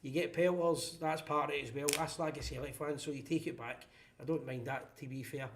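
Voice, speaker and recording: A man's voice: on the high side, steady tone, noisy background. A Scottish man hosting a live fan phone-in show, blunt and opinionated in casual chat. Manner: blunt, opinionated, quick-talking.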